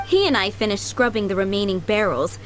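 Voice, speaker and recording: A woman voicing a kindly, middle-aged head maid in a video game, polite, doting and gently chatty. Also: medium pitch, lively intonation, a little fast, close to an everyday voice, rough recording. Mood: fondly reminiscing. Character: kindly motherly head maid, fondly remembering boys she raised. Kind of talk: video game character acting. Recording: background music under the voice.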